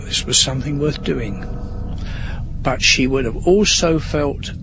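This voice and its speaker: A man recalling events as an interviewee, emphatic and halting, repeating himself as he goes. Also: medium pitch, noisy background. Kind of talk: spontaneous interview recollection.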